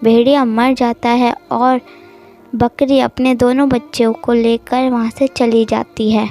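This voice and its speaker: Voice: mid-range voice, level tone, unhurried, a little mellow, soft background music. A young-sounding storyteller narrating an animal story for children.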